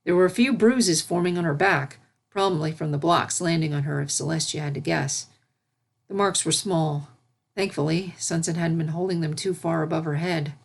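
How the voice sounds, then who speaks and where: A woman's voice: low-pitched, normal speed, creaky. A woman reading a fantasy story aloud as its narrator, in scripted narration. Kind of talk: scripted narration of fan fiction.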